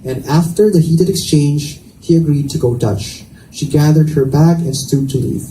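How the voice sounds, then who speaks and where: A man's voice: on the high side, moderate pace, mellow. A man reading scripted narration from a romance novel aloud in a roomy indoor space. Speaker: reader. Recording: echoey room, distant mic, faint noise.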